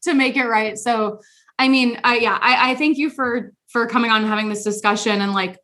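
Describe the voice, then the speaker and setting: A woman's voice: a little high, fairly even intonation, brisk, pushed and bright. A young woman hosting a podcast, talking casually and openly, with lots of filler words. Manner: chatty, frequent "like" fillers. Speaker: podcast host. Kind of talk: casual chat.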